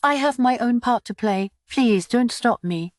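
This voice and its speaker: A woman's voice: a little high, lively intonation, a little slow, no room sound, studio quality, pitched above the performer's own voice. Woman voicing a mischievous, wistful young woman in an audiobook. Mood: pleading, resolute.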